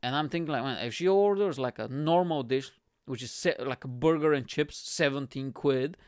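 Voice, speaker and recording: A man in his early thirties from Bulgaria, hosting his podcast with a lively, swearing, casual storytelling manner, recorded close on a stand mic in a small room at home. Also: mid-range voice, normal speed, smooth, dry recording. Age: 30s. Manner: animated, swearing, laughing, acts out quotes. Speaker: podcast host. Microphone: studio mic on stand close to mouth.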